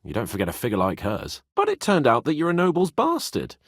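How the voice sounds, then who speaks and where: A man's voice: medium pitch, huge pitch swings, fairly quick, husky, no echo, studio quality. A man playing a cocky, haughty young medieval nobleman in a video game, sardonic, emphatic and brash. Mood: teasing, playful. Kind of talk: video game character dialogue.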